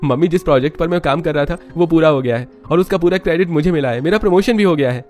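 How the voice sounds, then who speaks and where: A man plays an eager young husband in a cartoon family story. His voice sits high and moves along quickly, with an affectionate, upbeat manner. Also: darkish tone, soft background music, natural voice. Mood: excited. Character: excited young husband and son, cheerful and affectionate.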